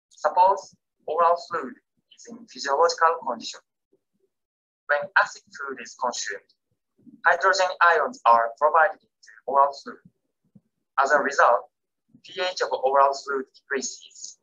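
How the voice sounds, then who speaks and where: A man whose first language is Japanese, reading a scripted academic lecture as keynote speaker in a careful, measured way. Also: fairly high pitch, sharp tone, dull audio. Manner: careful, measured reading with non-native grammar.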